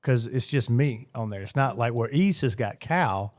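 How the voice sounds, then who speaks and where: A man's voice: medium pitch, lively intonation, normal speed, dry recording, studio quality. Rambling radio DJ man. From Georgia, US. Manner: rambling, repeats words, many fillers. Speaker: radio DJ guest. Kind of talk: casual interview chat.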